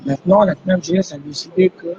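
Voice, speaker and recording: A man from Gabon, explaining his grievance step by step in a measured way to an interviewer at an airport. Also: high-pitched, fairly even intonation, warm tone. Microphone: host's phone. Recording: muffled phone audio with ambient noise.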